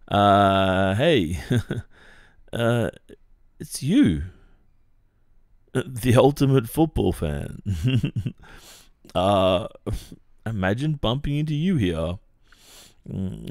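A man's voice: fairly low pitch, a little mellow, no echo, studio quality. An Australian man playing a friendly, teasing young football captain in a hesitant, casual ASMR boyfriend roleplay. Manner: hesitant, casual, murmuring hums and chuckles.

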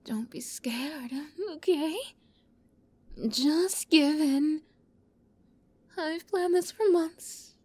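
A woman playing an obsessive young yandere captor in an ASMR roleplay, close to the mic, moving between soft whispers and giddy, high outbursts. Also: drawn-out words, long pauses. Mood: whispered, possessive.

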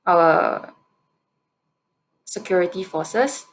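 Young female contestant, Singaporean accent. Slightly low voice, hesitant, thinking through answers over a video call. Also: drawn-out words, a little hiss or hum. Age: 20s. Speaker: competition presenter answering judges' questions. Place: indoor room, on a video call.

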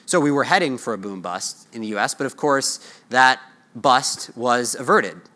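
A man's voice: mid-range voice, animated, normal speed, sharp tone. An American man in his thirties giving a conference keynote in a conversational way, posing and answering his own questions, on a lapel mic. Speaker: market research analyst giving a keynote. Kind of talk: conference presentation. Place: large hotel ballroom conference stage.

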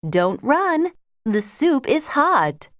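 A woman playing a high, lively cartoon mother. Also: very slow, no echo, studio quality, exaggerated voice. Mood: caring. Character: gentle cartoon mother, caring and cheerful. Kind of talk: slow scripted English-lesson cartoon dialogue.

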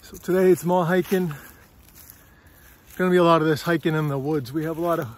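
A man's voice: on the high side, fairly even intonation, a little fast. A middle-aged man vlogging on a windy forest trail, filming himself with a phone at arm's length, a little out of breath and chatty. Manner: chatty, rambling, keeps asking "all right?". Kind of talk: casual walking vlog.

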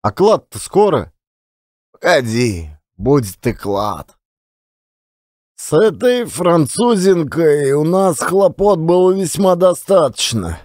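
A man's voice: fairly high pitch, sing-song, slow pace, darkish tone, dry recording, studio quality. An actor playing a garrulous, self-deprecating, folksy old-time Russian storyteller in a one-man audio play. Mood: animated recounting. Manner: colloquial, rambling, folksy.

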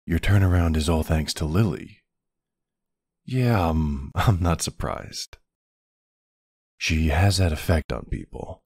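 Guy playing tender mafia dad in an asmr roleplay, real low and husky, takes his time. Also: no echo, studio quality, full character voice. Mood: earnest, warm. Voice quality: gravelly, husky. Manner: soft, unhurried, hesitant pauses. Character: tender mafia boss husband and doting young father. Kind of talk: intimate ASMR roleplay acting.

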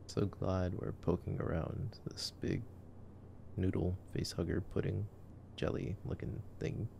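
A man speaks slowly in a dark, mellow low voice, as a boyfriend chatting through a movie in ASMR style. His delivery is casual and hushed, at times slipping into a whisper, over faint background noise. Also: little pitch movement, vocal fry. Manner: hushed, sometimes dropping to a whisper. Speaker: ASMR voice actor.

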